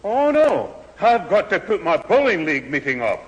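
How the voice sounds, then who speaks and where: A man's voice: sits high, big pitch swings, moderate pace, muffled recording. A man playing a bossy, easily riled old grandfather with a Northern English accent in a radio sitcom. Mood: indignant. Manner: blustering, grumbling. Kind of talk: 1970s radio sitcom acting. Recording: reverberant old radio recording.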